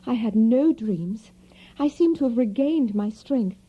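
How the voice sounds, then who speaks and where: Sweet young lady, wistful, gentle, high-pitched, unhurried, dull recording, radio drama. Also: expressive, husky. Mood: dreamy, wistful. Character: sweet young gentlewoman, trusting, delicate and dreamy. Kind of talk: audio drama acting, diary narration.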